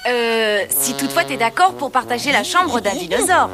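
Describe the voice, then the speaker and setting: An eager young cartoon boy with a very high, swooping voice. He sounds friendly and excitable, and loud music runs underneath. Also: moderate pace. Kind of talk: French cartoon dubbing.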